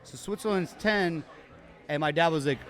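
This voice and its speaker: A man co-hosting a podcast recorded live at a bar, talking in a casual, rambling way with many restarts. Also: a little high. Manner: rambling, halting restarts, upbeat. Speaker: podcast co-host. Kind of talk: casual chat.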